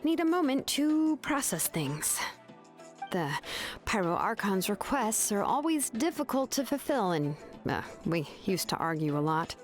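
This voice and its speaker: A woman's voice: medium pitch, big pitch swings, measured pace, faint music behind. A woman playing a young, cool and frank master craftswoman in a video game, casual and a little hesitant. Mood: exasperated, restrained. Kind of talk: animated video game voice acting.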